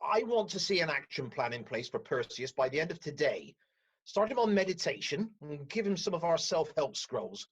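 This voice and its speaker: An actor playing a measured, patient but firm counselling team leader in a comedic radio play, speaking formally. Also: mid-range voice, sing-song, breathy edge. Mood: firm, reproving. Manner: formal, professional, admonishing. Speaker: team leader chairing a caseload meeting.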